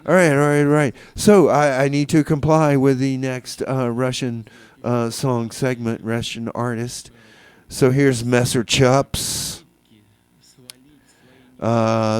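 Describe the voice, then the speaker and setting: A man's voice: medium pitch, fairly even intonation, a little mellow, clean tone, dry recording. Rambling male community radio host. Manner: rambling, halting, repeats himself. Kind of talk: comedic community radio DJ patter between songs.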